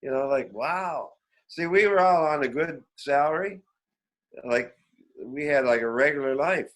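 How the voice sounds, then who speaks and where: A Canadian man in his seventies telling rambling, animated stories in a casual, reminiscing way as an interview guest. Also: fairly high pitch, measured pace. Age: 70s. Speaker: veteran musician, interview guest. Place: remote online call.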